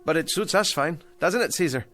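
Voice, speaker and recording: A stammering, desperate meek loser. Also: male voice, fairly high pitch, animated, a little fast, fairly bright tone. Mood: nervous, eager. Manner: stammering, pleading. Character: desperate, meek failed ventriloquist, pleading with his dummy. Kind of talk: radio drama acting.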